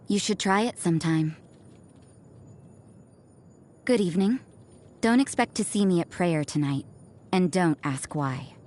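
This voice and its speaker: A woman voicing an aloof, cynical young nun in a video game, with a cool, languid, deadpan delivery. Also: fairly low pitch, slight background noise. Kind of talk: scripted game voice lines. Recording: music behind the voice.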